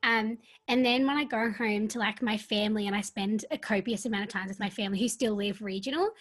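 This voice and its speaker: An Australian woman from the countryside hosting an interview, speaking softly and reflectively. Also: medium pitch, steady tone, fairly quick. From rural Australia. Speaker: podcast host. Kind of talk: casual interview chat.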